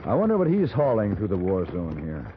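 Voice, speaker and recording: An actor with an American accent playing a weary, short-fused, dryly ironic traveller in an old radio western. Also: male voice, sits low, huge pitch swings, a little fast, a little hiss or hum. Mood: rueful. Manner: dry, clipped, formal irony. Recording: muffled vintage radio recording, crowd behind.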